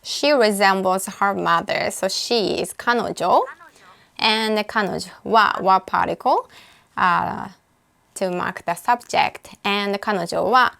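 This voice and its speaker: A woman with a Japanese accent, a native speaker, casually explaining words while playing a language app, thinking aloud with frequent pauses and self-corrections. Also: medium pitch, creaky, a bit bright. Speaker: language tutor. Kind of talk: casual screen-recorded app walkthrough.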